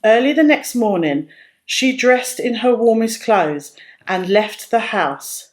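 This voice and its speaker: A woman reading a children's storybook aloud as narrator, in a careful, expressive way. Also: a little high, slow.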